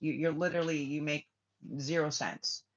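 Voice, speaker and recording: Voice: mid-range voice, steady tone, moderate pace. A spiritual reader talking loosely on a live stream, looping through half-finished thoughts before landing on blunt, profane remarks to the chat. The audio is dull and lo-fi, like a muffled stream. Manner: rambling, blunt and profane, answering viewer comments; trails off and restarts often.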